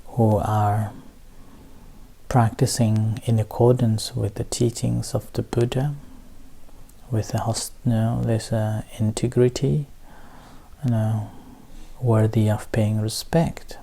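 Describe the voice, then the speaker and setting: Slow, mellow male meditation teacher. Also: fairly low pitch, little pitch movement, creaky. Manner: halting, measured phrasing with long pauses. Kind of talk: guided meditation talk.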